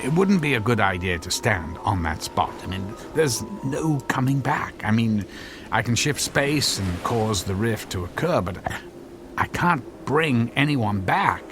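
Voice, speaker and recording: An actor playing an earnest, anxious physicist husband in a radio drama, explaining his invention in halting, stammering phrases. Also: medium pitch, animated, music in the background, close to an everyday voice. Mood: cautioning.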